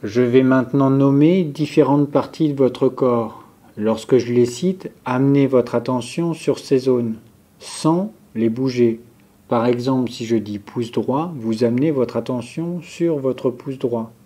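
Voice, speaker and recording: A man guiding a yoga nidra relaxation session, giving calm, measured, step-by-step instructions. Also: mid-range voice. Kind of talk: guided relaxation meditation, instructions spoken slowly to a group.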